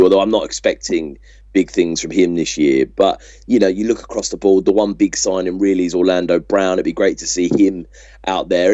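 A British man co-hosting a sports podcast, chatting in a measured, discursive way on a dull, muffled recording. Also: deep voice, warm tone, dry recording. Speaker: podcast host. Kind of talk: casual sports chat.

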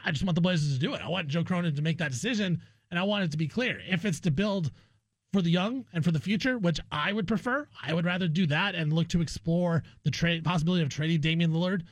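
Male sports radio host, notably high voice, dry close studio sound, casual opinionated talk. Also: fast talker, no echo.

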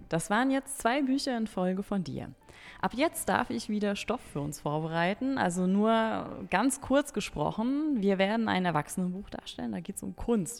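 A woman's voice: medium pitch, animated, moderate pace, gravelly. A woman hosting a book podcast for young listeners, presenting in a conversational style. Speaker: podcast host. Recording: long reverb, music behind.

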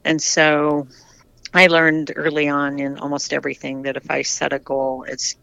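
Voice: mid-range voice, completely flat delivery, moderate pace, creaky, bright, forceful tone, no room sound, muffled recording. A retired cyclist in their sixties from the United States, chatting candidly in an interview with frequent restarts. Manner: chatty, candid, many restarts and repeated words. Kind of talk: casual interview answers.

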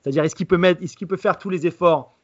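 A man's voice: high voice, fast, muffled recording. A man who is a football journalist, giving assertive analysis on a podcast. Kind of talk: podcast discussion.

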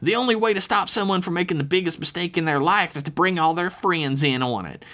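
A man's voice: high voice, fast talker, pushed and bright, pitched above the performer's own voice. A man voicing a pious, melodramatic middle-aged small-town mother in a comic story, scolding self-righteously.

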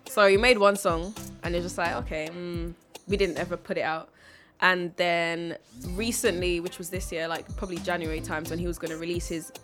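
A young woman in her twenties, a singer, talking easily about her music on the radio. Her voice sits a little low, and she is quick to laugh. Music plays clearly behind her. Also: steady tone, fairly bright tone. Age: 20s. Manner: giggly, easygoing. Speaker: singer, interview guest. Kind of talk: casual interview chat. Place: radio studio.

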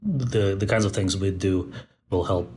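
A man in his forties, born in Moscow and raised in Central Asia, speaks thoughtfully as a podcast guest, pausing often to find his words. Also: sits low, darkish tone, a little hiss or hum. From born in Moscow, raised in Tajikistan. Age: 40s. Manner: thoughtful, many fillers and restarts. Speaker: guest, company founder. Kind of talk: podcast interview. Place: Bay Area.

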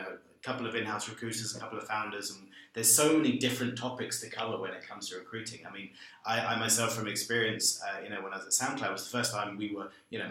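A man's voice: fairly low pitch, pushed and bright. A man, speaking as a panel guest about hiring, rambling with lots of "I mean" and "um". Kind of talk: panel discussion. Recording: very echoey room.

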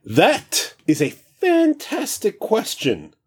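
A man voicing a chatty ancient male god-king who stammers and rambles with breezy excitement. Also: on the high side, measured pace, husky, voice pushed up, full character voice. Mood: excited, breezy.

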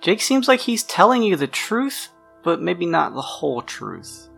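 A man's voice: sits high, sing-song, a little slow, gravelly, a bit bright. A man narrating an improvised tabletop roleplaying game as game master. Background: music.

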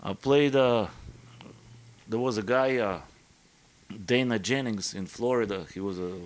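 A man in his fifties with a Russian accent, talking as a podcast guest in a halting, modest way with many fillers. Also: mid-range voice. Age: 50s. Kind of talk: casual interview chat.

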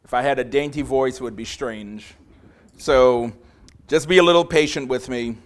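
A man in his forties from Trinidad, preaching to a congregation in a small room. He speaks with growing passion and a big voice, with many filler pauses. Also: mid-range voice. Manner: passionate, grows louder as he preaches. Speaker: visiting preacher. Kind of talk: sermon.

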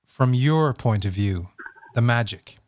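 A man's voice: mid-range voice, expressive, measured pace, dry recording, clean studio sound. A man interviewing a guest, with long, rambling questions full of fillers.

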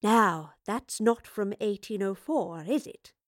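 A woman's voice: a little high, a little slow, no room sound, clean studio sound. Woman doing a teasing eccentric lady professor. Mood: curious. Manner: teasing, flirtatious, lively. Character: eccentric playful female time-travelling professor. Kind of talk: audiobook reading.

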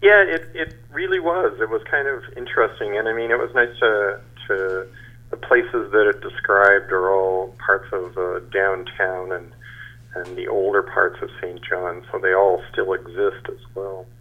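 A man in his sixties with a deep voice, heard through a dull, band-limited recording. He answers interview questions conversationally, in a halting, measured way. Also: steady tone. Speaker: geophysicist, interview guest.

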